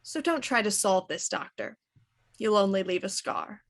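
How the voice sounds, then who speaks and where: An actress playing a composed, sharp-witted female inspector in a 1920s radio mystery, speaking crisply and dryly. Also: mid-range voice, lively intonation, moderate pace. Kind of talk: 1920s radio drama acting.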